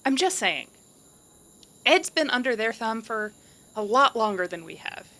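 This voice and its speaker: A woman's voice: a little high, big pitch swings, measured pace, pushed and bright, full character voice. A woman playing a scrappy, determined and protective young leader in an audio drama, casual, blunt and conspiratorial. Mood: earnest, firm.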